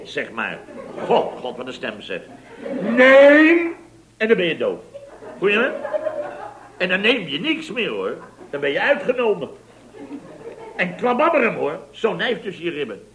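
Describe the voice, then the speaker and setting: An indignant, blustering builder boss from a vintage Dutch radio comedy. His voice sits fairly high and swings widely as he spins tall tales with theatrical exclamations. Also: huge pitch swings, a little slow, pauses often, a bit bright, heavily acted, poor audio. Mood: excited storytelling. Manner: theatrical, exclamatory storytelling with repeated tag words. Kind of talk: 1960s-70s Dutch radio comedy acting.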